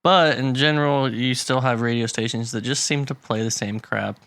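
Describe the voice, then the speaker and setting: Chatty man with a bright, dry voice. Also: mid-range voice, moderate pace, no echo. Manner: rambling, laughs often, many restarts. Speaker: podcast co-host. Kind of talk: casual chat. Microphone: pop filter.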